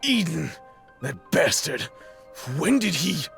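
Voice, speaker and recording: A man's voice: fairly high pitch, sing-song, slow, gravelly, fairly bright tone, full character voice. An actor playing a haughty, scornful male spirit in a visual novel, speaking with cold, imperious disdain. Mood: angry. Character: haughty, scornful dark-haired male spirit, impatient brother. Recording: music behind the voice. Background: game music.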